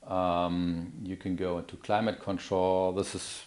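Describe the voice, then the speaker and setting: Male demonstrator, deep voice, explaining a product off the cuff, hesitant and step by step. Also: level tone, measured pace, darkish tone. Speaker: product demonstrator, account manager. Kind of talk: unscripted product demo. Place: indoor office or showroom.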